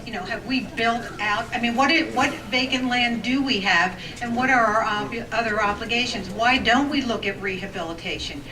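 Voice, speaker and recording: Woman at a council podium asking pointed questions, with a big voice and halting restarts. Recorded from far off in an echoey, noisy room. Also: on the high side. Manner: says she has a big voice. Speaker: resident giving public comment. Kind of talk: public comment at a council meeting. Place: township council meeting room. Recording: echoey room, distant mic, background noise.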